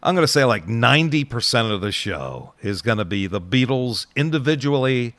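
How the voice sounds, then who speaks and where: A man's voice: medium pitch, big pitch swings, moderate pace, fairly bright tone, no room sound. A middle-aged man presenting an oldies radio show as its DJ, chatting casually between songs with nostalgic asides. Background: oldies music.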